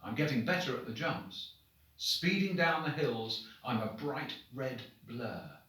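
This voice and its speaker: A man reads short stories aloud like a headmaster addressing his pupils. His delivery is expressive and animated, with mid-range pitch and deliberate, dramatic phrasing. The room is strongly reverberant and he sounds set back from the microphone. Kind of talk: expressive storybook reading to children. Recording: very echoey room, distant microphone.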